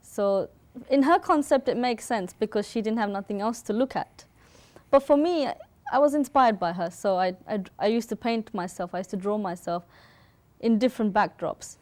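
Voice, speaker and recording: A woman from Sri Lanka speaks as a guest in a casual interview. Her pitch is average for a woman, and she talks informally, sometimes reaching for the right word. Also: normal speed, a little mellow. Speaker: interview guest, artist and photographer.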